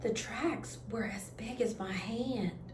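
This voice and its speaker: A woman voicing a frightened farm wife telling of a scare in a storybook, emphatic and halting. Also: fairly high pitch. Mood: firm. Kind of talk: children's storybook read-aloud. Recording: echoey room with background noise.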